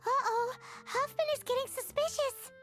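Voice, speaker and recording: A woman voicing a tiny, excitable, childlike fairy companion in a video game, squeaky and exclaiming. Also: moderate pace. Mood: alarmed. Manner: exclaiming, refers to herself by name. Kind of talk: video game character acting. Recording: game music behind.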